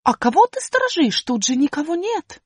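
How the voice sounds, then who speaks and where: Woman voicing a talking letter, puzzled and bright. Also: high voice, very animated, moderate pace, no room sound, clean studio sound, voice pushed up. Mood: questioning. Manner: questioning, thoughtful.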